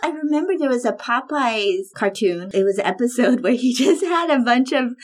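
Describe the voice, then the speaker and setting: A woman from the Philippines co-hosts a relaxed podcast chat. Her pitch moves up and down a great deal, and she talks animatedly, often dropping into quoted voices. Her speech is casual and full of fillers. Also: normal speed. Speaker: podcast co-host.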